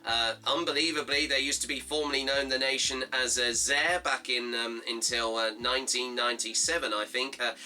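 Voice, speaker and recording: A slightly hoarse man hosting a fan football show, reading out results and previewing a match in a halting way, full of fillers and reading off his notes. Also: mid-range voice, fairly even intonation, pushed and bright, slight background noise.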